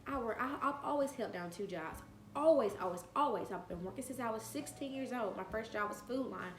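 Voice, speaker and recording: A woman's voice: fairly high pitch, animated, brisk, roomy sound, a little hiss or hum. A woman in her late twenties telling a personal story on her vlog, earnest and emphatic, repeating phrases to drive her point home. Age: 20s. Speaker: vlogger.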